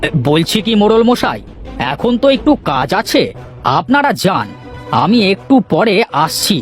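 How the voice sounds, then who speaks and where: A man's voice: sits high, sing-song, measured pace. A man voicing a sly, bossy village steward, a lying cartoon villain, with music underneath. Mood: evasive, pleading. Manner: bossy, conniving. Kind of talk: cartoon character dialogue.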